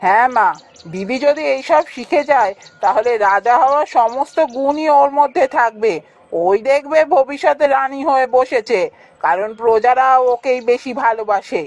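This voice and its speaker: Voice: high voice, moderate pace. Boy prince for a fairytale cartoon: envious, complaining, petulant. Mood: resentful. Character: jealous, whiny young prince, a boy. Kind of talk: Bengali fairytale cartoon voice acting.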